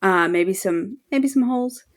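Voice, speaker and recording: Chatty woman, mid-pitched, as podcast guest. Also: lively intonation, unhurried. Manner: informal, chatty. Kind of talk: casual fan discussion.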